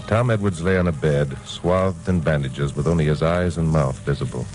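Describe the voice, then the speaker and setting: An actor playing a wry, hardboiled newscaster detective with a breezy, clipped delivery in old radio drama style. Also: male voice, a bass voice, normal speed, darkish tone, low-quality recording. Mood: wry storytelling. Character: wisecracking hardboiled newscaster detective narrating his case. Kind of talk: 1950s radio detective drama acting and narration. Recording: old radio recording, music behind.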